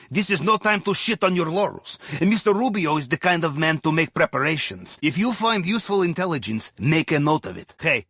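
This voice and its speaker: An actor playing a genial, wry Russian ex-navy submarine captain who guides a heist in a video game, with a Russian accent and a conspiratorial manner. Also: male voice, a little high, pushed and bright, slightly rough, no room sound, clean studio sound, exaggerated voice. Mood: wry, cautioning, then brisk. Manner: wry, conspiratorial, tags statements with questions. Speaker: mission handler. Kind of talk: video game mission dialogue over comms.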